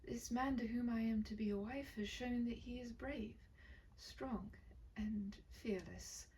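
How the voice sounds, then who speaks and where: A woman voicing a timid, devout young noble bride in a storybook, with a hesitant, breathy whisper. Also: on the high side, measured pace, recorded from a distance, slight background noise, pitched above the performer's own voice, natural voice. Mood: pleading, prayerful. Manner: hesitant, pleading, hushed.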